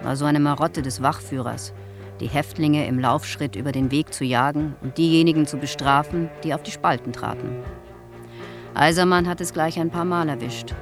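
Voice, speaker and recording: An actor playing an old man who survived the camps, giving a deliberate, reflective witness account in a radio drama. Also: on the high side, fairly even intonation, close to an everyday voice. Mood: haunted recollection. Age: older. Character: old male concentration camp survivor, haunted, plainly recalling. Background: guitar music.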